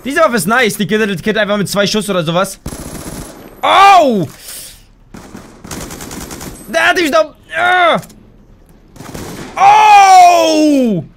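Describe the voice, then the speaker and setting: A young man gives excitable commentary over a headset while he plays, his voice very high and bright. He breaks into shouts when things go wrong. He sounds slightly hoarse and tired, and faint game gunfire sits under his voice. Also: slow, long pauses, slight background noise. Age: young adult. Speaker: gaming YouTuber. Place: home gaming room, indoors.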